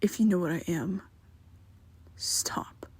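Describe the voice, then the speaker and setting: Woman playing a young male vampire best friend, secretive, protective, slow and halting, half-whispered, ASMR roleplay. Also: on the low side, darkish tone, breathy edge, full character voice. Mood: pleading. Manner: hesitant, halting, often drops to a whisper.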